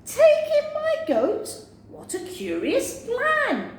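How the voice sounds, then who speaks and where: A woman's voice: extremely high pitch, huge pitch swings, slow, pitched above the performer's own voice, heavily acted. A woman voicing a flustered, grumbling little old woman from a storybook, wailing and pleading. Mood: incredulous. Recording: very echoey room, faint noise.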